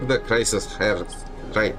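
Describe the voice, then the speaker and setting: Low-voiced sardonic man streaming a game. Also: slow pace. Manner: dry, sardonic. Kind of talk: casual gameplay commentary, some reading aloud. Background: game music.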